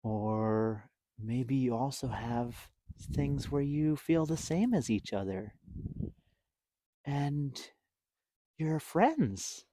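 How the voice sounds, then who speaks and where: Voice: mid-range voice, expressive, very slow, warm tone, dry recording. A storytime host reading and chatting to young children in simple words, with questions and explanations.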